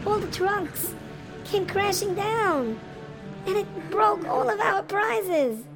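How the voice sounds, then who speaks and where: A woman voicing excitable young camp boys. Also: high voice, moderate pace, over music. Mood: panicked. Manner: excitable, childish. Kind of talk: children's audio drama.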